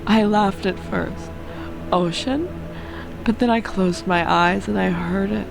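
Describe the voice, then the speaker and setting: A woman's voice: medium pitch, steady tone, a little slow, vocal fry, poor audio. Woman playing a serene mystical guru. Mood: serene, earnest. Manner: earnest, preachy. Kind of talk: amateur game character voicing. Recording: music under the voice.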